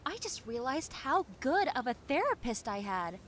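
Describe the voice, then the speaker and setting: A woman playing a friendly, clumsy young female zombie in a roleplay audio drama, talking in a chatty, expressive, rambling way. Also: high voice, moderate pace, a little hiss or hum. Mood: wistful, grateful. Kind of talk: roleplay audio drama, conversational monologue addressed to listener.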